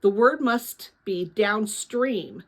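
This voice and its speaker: Earnest young boy explorer, thoughtful, hushed, full of wonder, storybook read-aloud performed by a woman. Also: mid-range voice, takes it slowly. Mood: awed.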